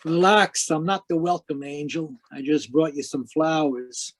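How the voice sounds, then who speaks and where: A man playing a wisecracking hardboiled private eye, higher-voiced. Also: unhurried. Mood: offhand, cool. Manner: wry, terse, slangy delivery. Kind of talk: hardboiled 1940s radio detective narration.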